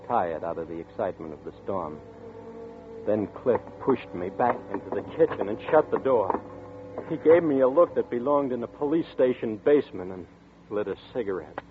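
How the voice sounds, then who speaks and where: A man's voice: on the low side, natural voice. An actor playing a frightened young man haunted by a nightmare, pleading in a strained, panicky voice in a 1940s radio drama. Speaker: lead actor. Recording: tinny lo-fi 1940s radio broadcast.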